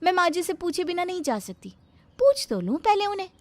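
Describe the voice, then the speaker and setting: A woman's voice: sing-song, a little fast, a little mellow, no echo. A woman voicing an eager, overly obedient young daughter-in-law who talks sweetly and takes everything literally. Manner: sweet, literal-minded, high and singsong.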